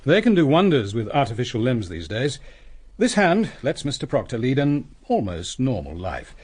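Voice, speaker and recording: Husky, probing British police inspector. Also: male voice, medium pitch, wildly swooping pitch, darkish tone. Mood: calm, incisive. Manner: measured, deliberate, theatrical reconstruction of events. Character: coolly brilliant male police inspector, methodical and probing. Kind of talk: British radio detective drama.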